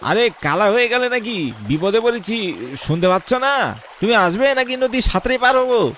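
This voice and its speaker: A man's voice: extremely high pitch, expressive, moderate pace, a bit bright, slight background noise. A man voicing an arrogant, scheming, murderous landlord in a horror cartoon, bullying and taunting. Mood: calling out urgently. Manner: bullying, haughty, taunting rhetorical questions. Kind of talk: Bengali horror cartoon voice acting.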